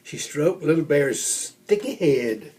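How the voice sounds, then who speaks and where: An older man reading a children's story aloud in an expressive storytelling manner. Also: fairly high pitch, slow. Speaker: storybook reader.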